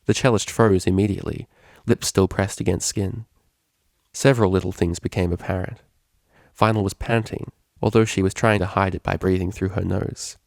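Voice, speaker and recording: A man's voice: low voice, fairly even intonation, moderate pace, vocal fry, darkish tone, no room sound, studio quality. A man narrating a scripted story as a fan fiction reader.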